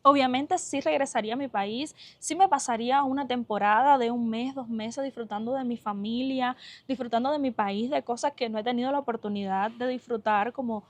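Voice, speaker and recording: A young woman from Cuba speaks straight to her followers in a slightly high voice, her Cuban accent softened. She talks earnestly and from the heart, pausing on fillers and restarting phrases as she thinks. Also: no echo. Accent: Cuban Spanish, softened. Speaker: vlogger. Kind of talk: casual talk to viewers.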